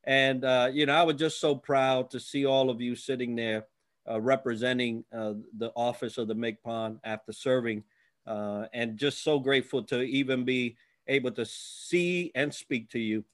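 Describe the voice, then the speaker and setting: A man's voice: medium pitch, steady tone, moderate pace. A man hosting an interview podcast, speaking in a deferential, somewhat formal way to his guest. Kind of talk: interview with scripted intro.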